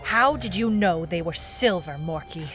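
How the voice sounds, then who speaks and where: A woman's voice: lowish voice, lively intonation, normal speed, music in the background, natural voice. A woman playing a wry, hard-boiled private eye, clipped and dry, in a noir detective audio drama. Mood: accusing. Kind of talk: noir detective narration and radio-drama acting.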